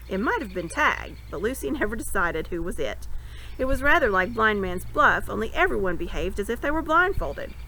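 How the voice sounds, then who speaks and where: A middle-aged woman reads a children's fantasy novel aloud outdoors in an expressive, dramatic storytelling manner. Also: mid-range voice. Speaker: reader. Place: outdoors in a front yard. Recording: noisy outdoor amateur webcam recording.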